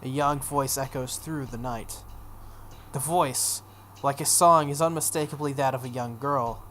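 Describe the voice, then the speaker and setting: A woman's voice: rumbling low, a little slow. A woman hosting a video game playthrough, reading a visual novel aloud expressively with offhand casual asides. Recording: some background noise.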